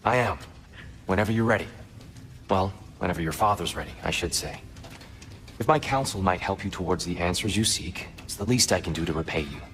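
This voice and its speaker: An actor playing a gentle, courteous old god in a video game, speaking in a formal, measured, humble way. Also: male voice, low voice. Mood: calm, courteous. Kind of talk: cinematic video game acting.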